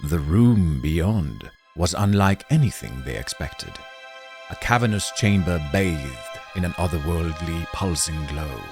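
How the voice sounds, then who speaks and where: A man narrating a scripted story in a deliberate, dramatic, theatrical storytelling style. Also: low voice.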